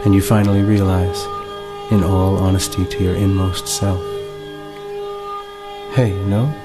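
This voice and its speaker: A man narrating a scripted, reflective monologue in a measured, deliberate and articulate way. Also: low voice, little pitch movement. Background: music.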